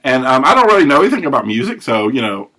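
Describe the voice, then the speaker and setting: A man hosting a recovery podcast, telling his life story in a rambling, self-correcting way with a big, loud voice. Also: medium pitch, sing-song, fast talker, poor audio. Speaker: podcast host. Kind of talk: personal recovery monologue.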